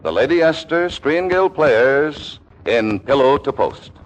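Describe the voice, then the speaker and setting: A man's voice: medium pitch, sing-song, slow. A man announcing a 1940s radio show in a showy, proclaiming style. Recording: muffled vintage radio broadcast with music.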